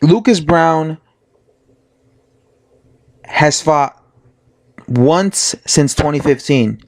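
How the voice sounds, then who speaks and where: A man with a hoarse voice from being sick, giving a casual, rambling opinion monologue as a boxing vlogger, full of fillers and self-corrections. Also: fairly high pitch, extremely slow.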